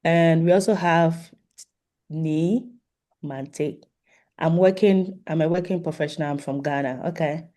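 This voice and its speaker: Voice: medium pitch, fairly even intonation, unhurried, mellow. A host informally moderating an online meeting over a video call, politely inviting participants to introduce themselves. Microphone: video call microphone.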